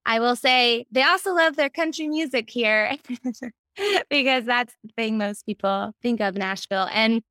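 A woman with an American accent speaking as a podcast guest in an animated, conversational interview style. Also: a little high, unhurried, smooth, no room sound, clean studio sound. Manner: animated, quotes self and others in anecdotes. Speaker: podcast guest, children's book editor.

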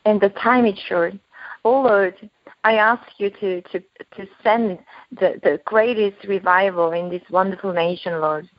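A Korean-born woman with an accent mixing Korean, German and British, praying aloud and chatting as a guest minister with many halting restarts. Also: mid-range voice, no room sound. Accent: Korean mixed with German and British. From South Korea. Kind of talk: spoken prayer and podcast chat. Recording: dull, dry podcast audio.

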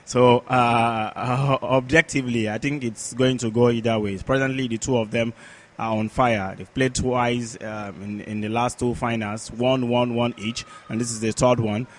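A man giving conversational sports analysis as a podcast pundit, halting and repetitive as he searches for words. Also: medium pitch, fairly even intonation.

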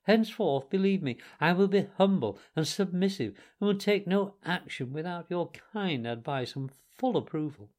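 A man voicing an excitable, theatrical male toad who swings between contrition and glee. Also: high voice, moderate pace, few pauses, voice pushed up. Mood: contrite. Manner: flighty and theatrical.

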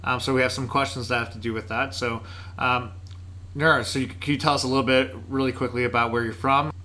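A male podcast host with a bright, forward voice and a mid-range pitch. He asks his questions in a laid-back, joking way, padding them with plenty of fillers. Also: little pitch movement, fast. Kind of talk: casual interview conversation.

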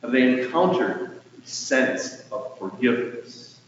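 A man preaching a sermon as a pastor, speaking in a measured, earnest way with emphatic swells and soft endings to phrases. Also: medium pitch, lively intonation. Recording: distant mic in echoey hall, dull, faintly noisy.

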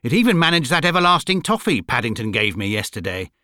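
A man's voice: mid-range voice, big pitch swings, fast, bright, forceful tone, dry recording, studio quality. A man voicing a keen, frank young boy with an eager, slangy, polite manner. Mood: matter-of-fact.

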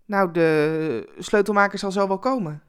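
A woman voicing a rich, reserved businessman, polite but hurried, in a Christmas radio story. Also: mid-range voice, smooth, natural voice. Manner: hesitant then decisive, polite.

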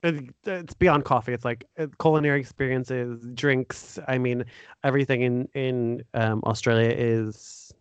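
A man's voice: medium pitch, fairly even intonation, unhurried, clear, resonant tone, no room sound, dull audio. An American man hosting a podcast, interviewing in a casual, informal and enthusiastic conversational way. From United States. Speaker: podcast host.

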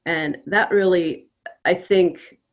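A middle-aged woman, a playwright, talking in a casual panel discussion in a measured way, pausing mid-phrase. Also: fairly low pitch.

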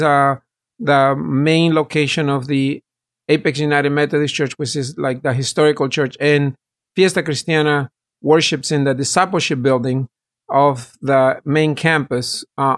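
Earnest pastor from El Salvador. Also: male voice, on the high side. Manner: earnest, detailed explaining with restarts. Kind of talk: interview guest.

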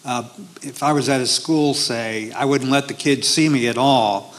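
A man talks through an interview in halting steps, leaning on frequent fillers between phrases. His voice sits at an ordinary middle pitch. He describes his stage work plainly. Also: fairly bright tone, poor audio. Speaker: performer of a one-man history show, interview guest. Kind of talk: casual interview talk.

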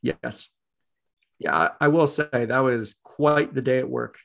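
Chill podcast host guy, gravelly voice, easygoing rambling chat. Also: medium pitch, measured pace, fairly bright tone. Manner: laid-back, conversational, trailing off.

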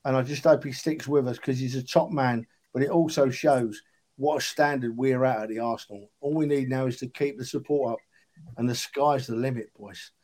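A man's voice: mid-range voice, fairly even intonation, normal speed, a little mellow. A man of about sixty, chatting casually and earnestly as a football fan on a podcast, emphatic and matey. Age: 60s. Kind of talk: casual chat.

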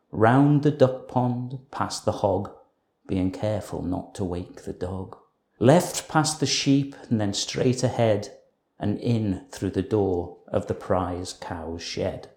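A man voicing a tiny, clever female ladybird from a children's storybook, explaining in a careful, measured way. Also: on the low side, darkish tone. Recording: roomy, echoing room sound.

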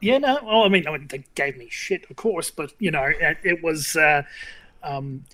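Irish-born guy in his 50s spinning yarns, bright crisp voice, a bit high. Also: moderate pace. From Ireland. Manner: rambling storyteller, many fillers and restarts. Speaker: journalist guest. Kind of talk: casual interview chat.

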